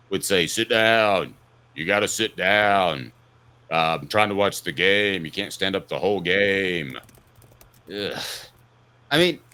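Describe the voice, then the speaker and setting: Animated, deeper-voiced man hosting sports talk. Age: 50s. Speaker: sports talk show host. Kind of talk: opinionated sports talk with casual storytelling.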